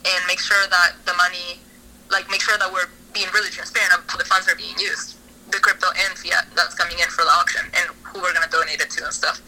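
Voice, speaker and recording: Bright-voiced woman rambling casually. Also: fairly low pitch, poor audio. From Argentina. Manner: rambling, lots of fillers and restarts. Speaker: interviewee, artist. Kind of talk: casual interview.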